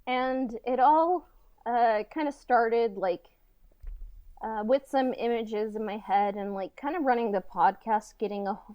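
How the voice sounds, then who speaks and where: A woman co-hosting a podcast in casual, halting chat peppered with filler words like "like" and "kinda". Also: fairly high pitch, a little mellow, smooth, dry recording, lo-fi sound. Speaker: podcast co-host and audio drama writer.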